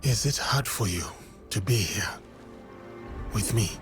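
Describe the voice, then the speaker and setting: A man playing a solemn, gentle warrior in a historical game drama, speaking earnestly and in a measured way. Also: lowish voice, faint music behind, close to an everyday voice. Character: solemn, gentle, dutiful male warrior in feudal Japan. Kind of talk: restrained dramatic game cutscene acting.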